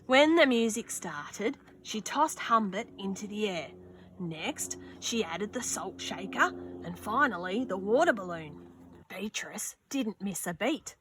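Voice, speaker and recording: Slow, bright-voiced woman reading aloud. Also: mid-range voice, a little hiss or hum. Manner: slow, expressive storytelling with gasps and asides. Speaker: children's book reader. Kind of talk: reading a picture book aloud. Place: outdoors on a sunny wooden deck.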